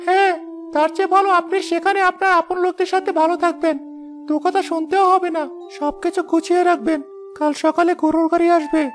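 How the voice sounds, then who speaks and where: A woman playing a scheming cartoon stepson with an extremely high voice. The delivery is calculating, set over faint music. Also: fairly even intonation, fairly quick. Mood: falsely considerate. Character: scheming adult stepson of a widow. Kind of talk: Bengali moral-story cartoon voice acting.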